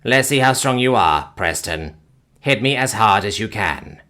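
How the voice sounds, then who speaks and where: A man voicing a calm, armored male alien who speaks formally and without emotion. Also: lowish voice, a little slow. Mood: commanding. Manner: flat, formal, deadpan delivery.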